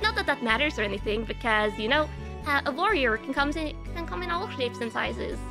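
A woman's voice: sits high, lively intonation, fairly quick. A woman whose first language is Norwegian, giving a giggly, rambling stream self-introduction. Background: faint music.

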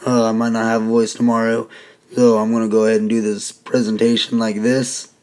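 A hoarse man giving a casual, folksy whiteboard lecture as a teacher. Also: fairly low pitch, monotone, fairly quick, a little mellow. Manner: folksy, conversational explaining.

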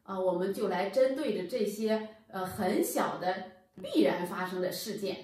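Woman in her 30s, patient how-to lesson, bouncy pitch, super echoey room, mic kinda far away. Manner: patient, explanatory, addresses viewers directly. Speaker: HVAC channel presenter. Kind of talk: instructional explainer. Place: plain indoor room against a bare wall.

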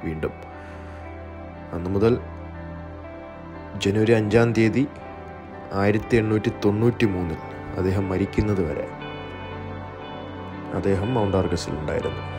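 A man giving a measured, explanatory documentary voice-over as the narrator of a video. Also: on the low side. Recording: music bed, some dull echoey passages. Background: music.

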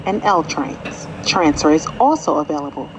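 A woman's voice: low voice, lively intonation, moderate pace. A woman delivering recorded service announcements as a subway train announcer. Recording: clear train noise over announcement. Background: subway vehicle noise.

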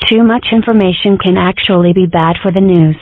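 A woman's voice: lowish voice, a little fast, no echo, lightly acted. A woman voicing a cheeky, contrary young girl fox in an amateur comic dub, delivering dry jokes matter-of-factly. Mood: earnest. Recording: muffled, phone-like narrow sound.

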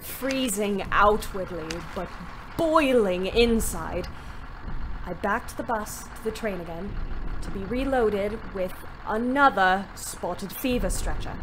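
An actress playing a weary, bitter young ambulance driver, narrating a Great War audio drama in a wry, dramatic way. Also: female voice, medium pitch, background noise, lightly acted. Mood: tense, frustrated. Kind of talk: first-person audio drama monologue.

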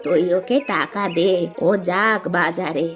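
A woman voicing a wise, gentle old village grandmother in a cartoon, giving advice in a measured, authoritative manner. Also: lowish voice, faint music behind. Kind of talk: animated cartoon storytelling dialogue.

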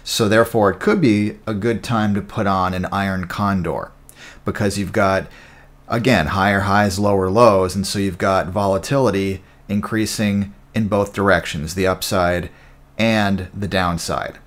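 A man's voice: low-pitched, fairly even intonation, a little slow. A man giving an informal tutorial as a trading coach, explaining step by step, repeating key points and checking in with the listener. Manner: didactic, repeats points, checks in with okay and all right. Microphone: voice-over on a screen recording.

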